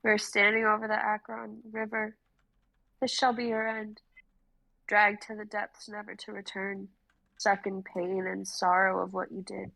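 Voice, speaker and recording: A woman acts a vengeful young woman turned foe. Her delivery is cold and measured, with a flat, even pitch, in a fantasy script-reading style. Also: creaky, exaggerated voice, rough recording. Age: young adult.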